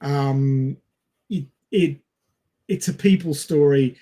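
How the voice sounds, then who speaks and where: A man co-hosting a casual film discussion, speaking in a halting, hesitant way and stretching out words. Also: a little high, mellow. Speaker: podcast co-host.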